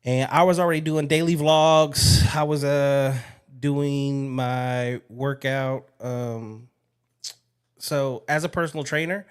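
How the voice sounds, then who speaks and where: A man's voice: medium pitch, steady tone, takes it slowly, clean tone. A man hosting a podcast, talking in a frank, casual way and swearing freely. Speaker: podcast host. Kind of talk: casual interview chat.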